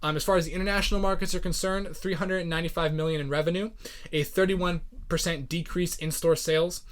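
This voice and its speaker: A man's voice: a little high, steady tone, fast. A man hosting a podcast, giving informal, explanatory commentary on business and finance. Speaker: podcast host. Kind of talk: earnings call breakdown commentary.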